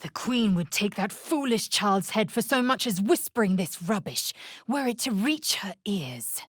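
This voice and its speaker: An actress playing a fierce, proud young female alien warrior in a video game, with a husky, raspy voice and a clipped, haughty, formal manner. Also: mid-range voice, big pitch swings, unhurried, no room sound, clean studio sound. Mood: proud, indignant. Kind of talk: video game character voice acting.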